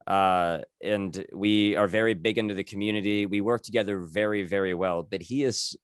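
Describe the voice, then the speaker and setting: A man's voice: on the low side, fairly even intonation, moderate pace, clean tone, dry recording, studio quality. A man in his thirties hosting a casual interview, rambling and correcting himself as he talks. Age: 30s. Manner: rambling, self-correcting, chuckles while talking. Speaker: podcast host.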